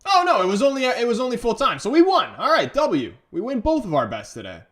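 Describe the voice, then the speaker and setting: A man's voice: very high-pitched, huge pitch swings, fast, a bit bright. A man with an English accent hosting a casual live stream, chatting through a football match and reading out viewer comments. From England. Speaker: livestream host.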